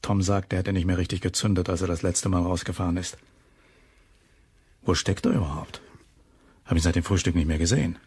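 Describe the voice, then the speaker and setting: Weary gruff lighthouse chief. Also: male voice, deep voice, steady tone, fairly quick, long pauses, darkish tone, dry recording. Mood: weary, irritated. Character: gruff, weary male head keeper of a lighthouse. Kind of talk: German radio crime drama acting.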